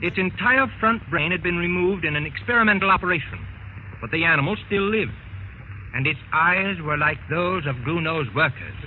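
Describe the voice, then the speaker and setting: An actor playing a grave, learned investigator recounting horrors in an old-time radio drama. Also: male voice, a little high, animated, normal speed, phone-quality sound, close to an everyday voice. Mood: solemn, reflective. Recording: thin, noisy old radio recording.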